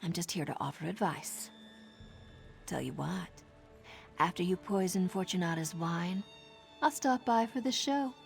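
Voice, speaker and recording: A woman's voice: lowish voice, measured pace, creaky, pauses often, faint music behind. A woman playing a confident female assassin informant in a video game, with a sardonic, conspiratorial manner. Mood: sly, confident. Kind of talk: video game character dialogue.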